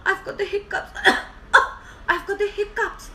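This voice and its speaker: A woman's voice: very high voice, very animated, normal speed, sharp tone, echoey room, a little hiss or hum, voice pushed up, full character voice. A woman voicing a big, miserable storybook elephant who is plaintive and eager to be cured of hiccups. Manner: plaintive, repeats itself, punctuated by hiccups.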